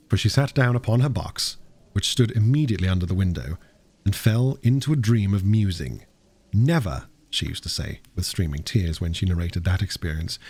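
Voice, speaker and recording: Guy reading classic lit audiobook style, husky, really expressive and dramatic, dry sound. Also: lowish voice, dry recording. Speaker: audiobook reader. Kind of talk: expressive single-take reading of classic literature.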